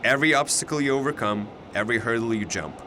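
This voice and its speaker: A man's voice: medium pitch, quick pace, noisy background, lightly acted. A man playing a cocky, chatty young double who knows it all, with a glib, teasing way of talking in an audio drama. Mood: earnest. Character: cocky, chatty, all-knowing alternate-universe male double of a student.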